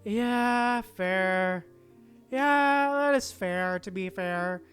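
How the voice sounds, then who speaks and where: Slow-paced woman streamer reacting casually. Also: mid-range voice. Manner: murmured short interjections. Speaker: gaming streamer. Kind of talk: casual live reaction commentary. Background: faint game music.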